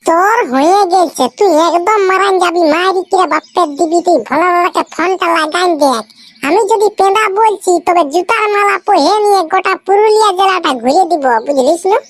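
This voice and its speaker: A performer voicing an excitable, nagging teenage schoolboy in a comic cartoon, with insistent rustic slang and a Purulia accent from West Bengal. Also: very high voice, fairly quick, no echo.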